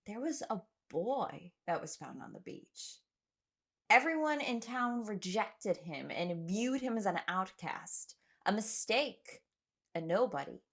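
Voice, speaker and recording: An actress playing a troubled young castaway woman, telling her story earnestly and with measured care in an audio drama. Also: fairly low pitch, a bit bright, heavily acted. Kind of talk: dramatic first-person audio drama narration.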